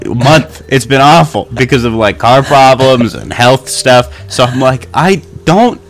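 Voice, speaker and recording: A man's voice: mid-range voice, measured pace, faint music behind. A young man co-hosting a podcast while stuffed up with a cold, telling stories in a self-mocking, casual way. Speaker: podcast co-host.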